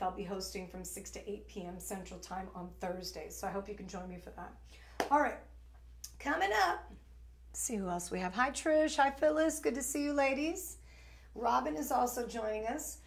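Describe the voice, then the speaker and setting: A woman's voice: medium pitch, moderate pace, reverberant. A woman in her forties from New York with an East Coast American accent, hosting a live shopping stream in a chatty, rambling way with lots of fillers. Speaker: shopping channel host.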